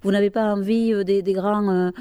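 A woman hosting an interview, putting prepared questions and introductions in a polite, measured way. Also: mid-range voice, steady tone, warm tone, clean tone. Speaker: radio interviewer, host.

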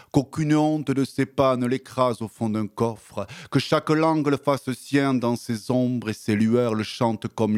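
A man with a southwestern French accent, a radio guest who speaks hesitantly in conversation and recites his own poem in a measured rhythm. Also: mid-range voice, a little mellow, no echo, clean studio sound. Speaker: guest author.

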